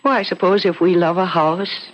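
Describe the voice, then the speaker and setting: An actress playing a polite, nostalgic woman in a 1970s radio drama, gentle, hesitant and earnest, on an old recording. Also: on the low side, steady tone, moderate pace, lo-fi sound, slight background noise, natural voice. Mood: wistful. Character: polite, wistful woman nostalgic for her childhood home.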